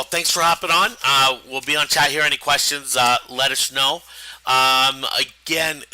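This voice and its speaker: Man, hoarse rough voice, very bright tone, dry close sound, halting live trading talk. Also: mid-range voice, no room sound, low-quality recording. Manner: halting, many "uh" and restarts. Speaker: stock trading show host. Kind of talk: live market commentary. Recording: live stream broadcast.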